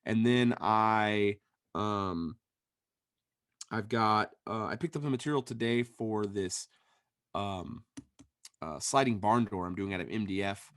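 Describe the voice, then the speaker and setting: Hoarse low-voiced man on a call. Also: slow, long pauses, a bit bright, no echo, studio quality. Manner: rambling, with fillers and restarts. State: hoarse, losing his voice. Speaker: podcast co-host. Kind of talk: casual chat. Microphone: remote call connection.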